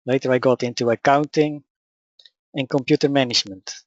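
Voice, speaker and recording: A man with a Dutch accent speaks in a calm, measured, matter-of-fact way, his first language clearly Dutch. His voice sits at an ordinary middle pitch. The recording is dry and a little dull, as if made on modest equipment. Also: dry recording. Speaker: spiritual teacher. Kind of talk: personal account and teaching talk.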